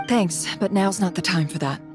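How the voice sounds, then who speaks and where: An actress playing a composed, inquisitive woman in a video game, speaking in a measured, cool way. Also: lowish voice, close to an everyday voice. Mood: determined. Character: composed, inquisitive woman infiltrating another person's mind. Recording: long hall-like reverb, music underneath.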